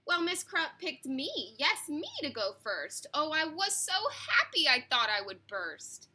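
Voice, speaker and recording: A woman reads a picture book aloud for children. Her voice is high and bright. She is expressive and slips easily into chatty asides for her young listeners. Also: measured pace. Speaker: read-aloud reader.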